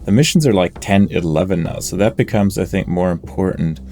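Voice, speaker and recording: A man giving casual commentary as he plays a game, musing aloud with pauses mid-sentence. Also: deep voice, lively intonation, mellow. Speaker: gamer, let's play host. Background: game music.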